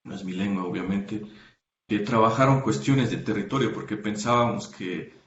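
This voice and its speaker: A man being interviewed as a researcher, explaining his academic work carefully, pausing often with "eh" and correcting himself mid-sentence. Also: fairly low pitch, reverberant, muffled recording. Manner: thoughtful, many hesitations and self-corrections. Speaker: guest researcher.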